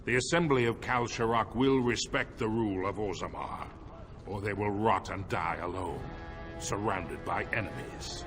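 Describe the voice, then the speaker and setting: A man's voice: on the low side, normal speed, natural voice. An actor playing a proud, aging dwarf king in a fantasy game, with a formal, commanding way of speaking. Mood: stern. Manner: formal, commanding, grand oratory. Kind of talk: fantasy game cutscene acting. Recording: loud background music.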